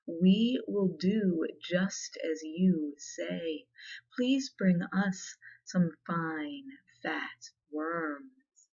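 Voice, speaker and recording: Little storybook goslings , voiced by a woman speak earnestly and cautiously in a soft ASMR hush. The words come very slowly, on a slightly muffled recording. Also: lowish voice, slightly rough, full character voice. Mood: obedient. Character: little young goslings, obedient, wary storybook creatures.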